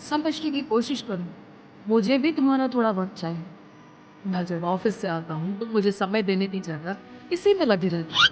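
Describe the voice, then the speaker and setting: A woman's voice: mid-range voice, very animated, normal speed, background noise, natural voice. A woman voicing an earnest young husband in an acted family story, pleading and patient until fed up. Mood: gently pleading. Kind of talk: acted children's story dialogue. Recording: dull audio with music behind.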